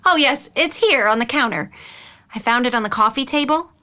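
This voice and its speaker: A high, bright voice of a woman acting as a middle-aged mother and aunt in an audio drama. She is earnest, caring and a little anxious, often pleading her case. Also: animated, brisk. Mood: explaining, defensive.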